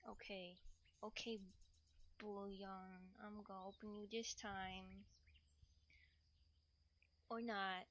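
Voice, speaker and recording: A woman's voice: mid-range voice, little pitch movement, slow, long pauses, a bit bright, lo-fi sound, slight background noise. A woman livestreamer chatting casually to viewers at home while doing chores, often muttering to herself and repeating phrases.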